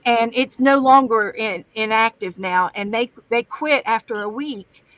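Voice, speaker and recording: West virginia lady rambling on a craft livestream, kinda high voice, dull dry audio. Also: unhurried, a bit bright, no room sound. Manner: chatty, rambling storyteller. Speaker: guest crafter and streamer. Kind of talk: casual livestream interview chat. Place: home craft room. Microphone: livestream camera setup.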